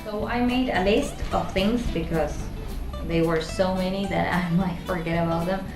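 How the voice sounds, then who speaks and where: A woman in her twenties from Argentina with an Argentine Spanish accent, chatting casually as a vlog host in a halting way, searching for words. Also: on the low side, fairly even intonation. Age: 20s. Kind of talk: casual chat to camera. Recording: roomy echo, guitar music behind. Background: guitar music.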